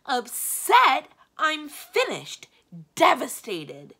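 A woman's voice: sits high, huge pitch swings, very slow, creaky, pushed and bright, pitched above the performer's own voice, heavily acted. A woman voicing a vain, hot-tempered aging male wizard, shrieking and indignant in overblown storybook style. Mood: despairing.

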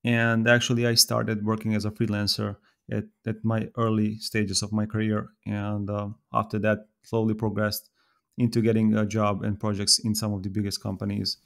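A man in his thirties from Serbia with a Serbian accent, speaking as a podcast guest in a thoughtful, halting way with many fillers. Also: fairly low pitch, completely flat delivery, gravelly. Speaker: podcast guest, software developer. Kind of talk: casual podcast conversation.

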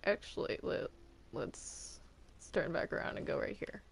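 A player chatting casually through an improvised Minecraft roleplay, hesitant and often repeating words over a gaming microphone. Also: normal speed. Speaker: player in a group game roleplay. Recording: noisy home game recording with clicks.

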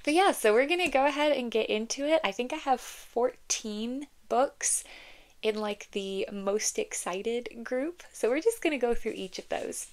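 A woman's voice: a little high, measured pace. A young woman vlogging casually about books from her home, a little congested from a cold, talking with lots of "like" and "um". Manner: chatty, frequent "like" and "um", reads blurbs aloud. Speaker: book vlogger. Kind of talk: casual chat and blurb reading.

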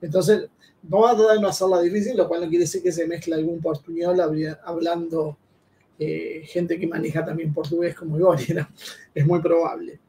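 A man co-hosting an interview, explaining the topics to viewers with many pauses and fillers. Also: on the high side, level tone, dark tone, distant mic, low-quality recording. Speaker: co-host interviewer. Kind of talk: interview introduction. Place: home room.

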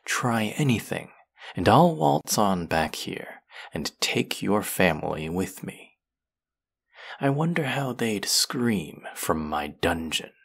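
A man playing a vengeful, brilliant fantasy villain in an audio roleplay, deliberate, sardonic and threatening, with an intimate delivery that can drop toward a whisper. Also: fairly low pitch, very animated, vocal fry, husky, exaggerated voice.

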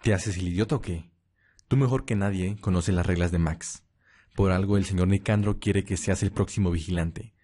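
Man playing a low, mellow, menacing male vampire watchman. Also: normal speed. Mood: scornful. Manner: curt, menacing, disdainful. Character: stern, loyal male vampire watchman, cold and scornful. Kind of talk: Spanish-language audio roleplay acting.

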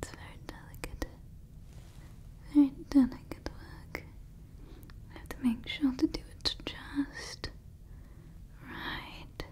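A woman's voice: high-pitched, steady tone, slow, pauses often. A woman whispering as a fussy evil scientist. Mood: fussy, doting. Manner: soft whispering, repeats words fussily, calls listener darling. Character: doting female evil scientist building a person. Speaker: ASMR roleplay creator. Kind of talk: ASMR roleplay whispering. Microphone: close ASMR microphone.

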